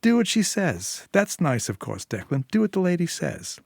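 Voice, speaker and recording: Male reader as mocking tough woman, raised pitch, sarcastic, bullying, audiobook short story, dry close sound. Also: big pitch swings, normal speed, vocal fry, a little mellow, no room sound, heavily acted. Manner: sarcastic, taunting. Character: sharp, mocking, bullying woman with a criminal edge.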